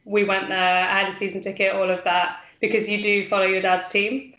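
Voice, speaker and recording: A woman in her twenties from the English Midlands, talking casually as a guest on a video call from a small room at home, relaxed with many pauses and ums. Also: medium pitch. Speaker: guest, fan channel creator. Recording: echoey room, dull lo-fi call audio.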